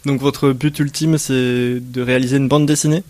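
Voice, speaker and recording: A man's voice: medium pitch, level tone, moderate pace, darkish tone, no echo. A man hosting a local radio interview, hesitant, with frequent fillers as he introduces guests and asks questions. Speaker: radio host.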